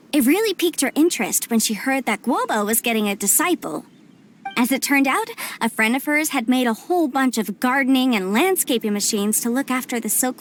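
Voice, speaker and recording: A friendly young girl from an anime game. She chats and explains in a high voice. Faint background noise sits under her. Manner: chatty, explanatory.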